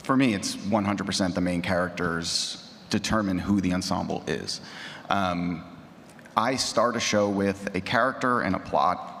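A man's voice: low voice, a little slow, fairly bright tone. A man speaking off the cuff on a panel as an audio drama creator. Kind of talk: unscripted panel discussion. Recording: echoey hall.

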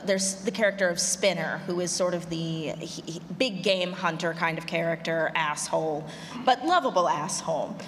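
A woman explaining her writing craft as a panelist at a conference. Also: fairly low pitch. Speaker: panelist, audio drama writer. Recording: reverberant conference hall, noisy.

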